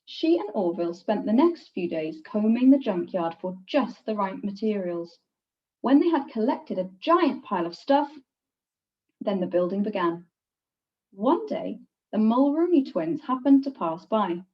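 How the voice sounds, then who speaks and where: A woman reading a children's picture book aloud in an expressive, deliberate teacher's storytelling manner. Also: medium pitch. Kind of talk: scripted picture-book narration. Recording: distant, slightly dull microphone.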